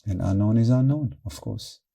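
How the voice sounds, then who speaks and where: Very mellow, soft-edged male meditation guide. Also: on the low side, creaky. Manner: calm, measured, short phrases with pauses. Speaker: meditation teacher.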